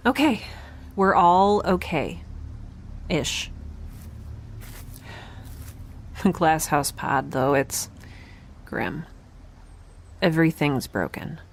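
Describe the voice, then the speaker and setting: An exhausted young woman scientist recording a ship's log in a sci-fi audio drama. She speaks slowly in a lowish voice, halting and wry, yet stubbornly determined. Faint noise sits behind her. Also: lively intonation, heavily acted. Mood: tired, wry. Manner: halting, wry, trailing off mid-thought.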